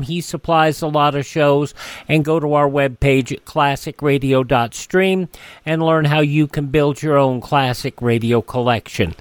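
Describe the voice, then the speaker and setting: Higher-voiced male radio host, very dry sound. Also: smooth, no room sound. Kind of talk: radio announcing, informal.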